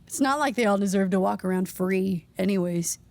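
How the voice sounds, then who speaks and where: A woman's voice: medium pitch, a little fast, gravelly, darkish tone, close to an everyday voice. A woman acting in an audio drama as a flippant, stubborn young woman with a casual, curt manner.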